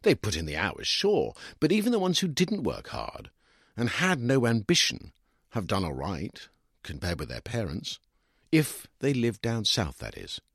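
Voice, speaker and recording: Smug English lawyer, lively and opinionated, acted by a man. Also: lowish voice, unhurried, gravelly, breathy edge, dry recording, clean studio sound, full character voice. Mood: opinionated, dismissive. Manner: opinionated, condescending, emphatic. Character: smug, argumentative middle-aged divorced male British lawyer, self-justifying. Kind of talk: dramatised first-person short story reading.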